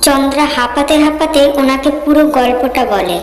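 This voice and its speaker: Female horror narrator, high voice, echoing reverb over music. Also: a little fast, slight background noise. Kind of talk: scripted horror story narration. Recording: reverberant echo, music behind.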